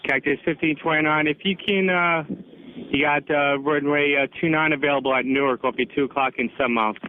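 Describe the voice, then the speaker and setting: Fast-talking male controller on tinny radio. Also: on the high side, steady tone. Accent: American. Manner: clipped, procedural. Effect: aviation radio. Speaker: air traffic controller. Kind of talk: rapid radio air traffic instructions. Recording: tinny narrowband radio with static.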